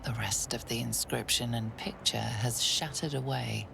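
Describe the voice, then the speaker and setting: A woman voicing a grave, mysterious fantasy game storyteller with a measured, breathy, gravelly delivery. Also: very deep voice, steady tone, noisy background, full character voice. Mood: hushed, ominous. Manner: slow, measured, portentous. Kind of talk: scripted second-person fantasy game narration.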